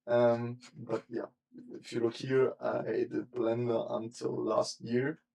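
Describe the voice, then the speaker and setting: A man's voice: medium pitch, level tone, takes it slowly, gravelly, mellow, rough recording. A young man streaming casually as he works, thinking aloud in loose, rambling sentences that often trail off. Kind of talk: casual live-stream chat while working. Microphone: desk mic.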